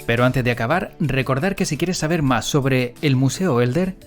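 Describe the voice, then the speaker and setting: A man's voice: medium pitch, normal speed. Man hosting, faint music behind. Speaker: podcast host. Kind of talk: conversational interviewing and sign-off.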